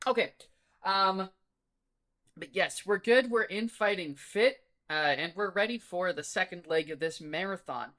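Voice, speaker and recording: Male podcast host, bright forceful voice, loose animated rambling chat, salty language. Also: sits high, unhurried. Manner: rambling, animated storyteller, swears freely. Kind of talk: casual chat.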